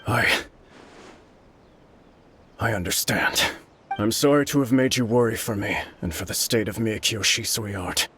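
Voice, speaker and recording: An actor voicing a broken, remorseful sword master in a game, speaking in a troubled, hesitant, earnest way. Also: male voice, on the low side, unhurried, long pauses, pushed and bright, slight background noise. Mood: humble. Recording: game soundtrack behind.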